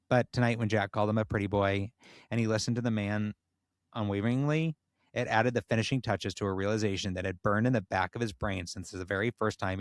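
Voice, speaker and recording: Man, podcast co-host, lowish voice, dry close sound, playful, theatrical delivery. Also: no echo. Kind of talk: casual chat and dramatic reading of a comic erotic story.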